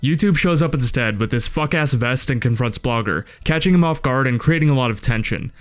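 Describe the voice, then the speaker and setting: A man's voice: mid-range voice, fairly quick, smooth. A man giving casual scripted commentary in a blunt, sardonic, sweary way. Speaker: video essay host reviewing a webcomic. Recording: music under the voice. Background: background music.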